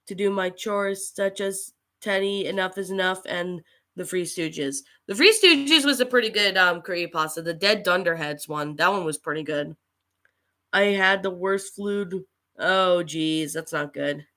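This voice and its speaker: The woman you would find hosting a small hobby livestream while nursing a cold, greeting commenters one by one in a bright, halting voice. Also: medium pitch. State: getting over a cold with allergies. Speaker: livestream host and creepypasta narrator. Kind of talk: casual livestream chat, reading and answering viewer comments.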